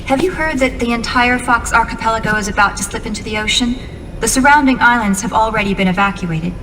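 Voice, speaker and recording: An actress playing an earnest, composed young female military officer in a video game, giving a measured briefing. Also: on the high side, natural voice. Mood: serious, informative. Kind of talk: cinematic video game dialogue. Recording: long reverb, clear background noise.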